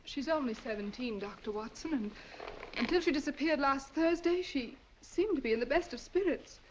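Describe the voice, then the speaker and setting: A woman's voice: high voice, moderate pace, lightly acted. An actress playing a deceptive young woman posing as a distressed client in an old radio drama. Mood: pleading. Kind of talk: 1940s radio acting. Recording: muffled old radio recording with faint hiss.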